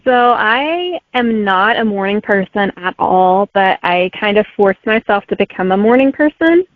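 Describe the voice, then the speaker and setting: A woman author as a guest, speaking in a relaxed, friendly way and laughing easily. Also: mid-range voice, a little slow, dry recording. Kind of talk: casual interview chat. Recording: dry, tinny phone-like line.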